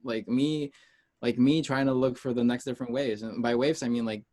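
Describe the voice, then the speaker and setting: A man from the United States chatting casually as an interview guest, informal and rambling, pausing to gather his thoughts. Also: mid-range voice, steady tone, warm tone. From United States, Peruvian heritage. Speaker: interview guest, music artist.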